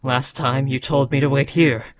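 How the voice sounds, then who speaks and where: A man's voice: medium pitch, fairly even intonation, moderate pace, a little hiss or hum, close to an everyday voice. A man voicing an ancient, proud dragon who scolds a beloved rider in a solemn, formal, dramatic fantasy roleplay. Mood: exasperated grief.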